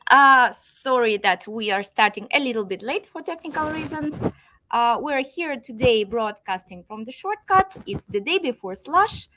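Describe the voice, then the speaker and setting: A woman's voice: fairly high pitch, lively intonation, normal speed, bright, forceful tone, slight background noise, rough recording. A woman hosting a live broadcast question-and-answer session, introducing topics and putting questions to a guest. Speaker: host of a live Q&A.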